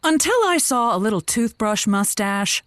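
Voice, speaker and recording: Female radio advice host: frank, patient, reactive, animated pitch, conversational, dry recording. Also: moderate pace, no room sound. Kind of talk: improvised comedy call-in radio show.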